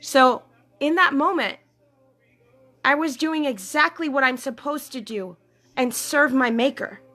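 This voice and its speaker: A woman's voice: sits high, animated, a little slow, bright, forceful tone. A woman life coach talking casually to her followers on a livestream, earnest and repetitive, often checking in with "okay?". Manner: earnest, repeats phrases, many fillers and "okay?". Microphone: phone or webcam.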